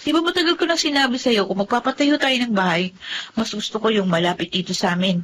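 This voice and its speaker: An actress playing a defiant, indignant wife of thirty in a Filipino radio drama. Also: female voice, on the high side, fast talker, fairly bright tone. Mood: complaining, insistent. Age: 30s. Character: defiant, indignant thirty-year-old wife attached to her mother.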